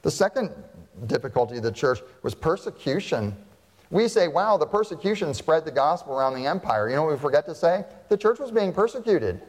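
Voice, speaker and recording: An American pastor preaching a sermon, talking to the congregation in a conversational way and asking them frequent questions. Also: male voice, fairly high pitch, big pitch swings, fairly quick, darkish tone, long reverb tail, poor audio. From United States. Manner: conversational, asks the audience questions.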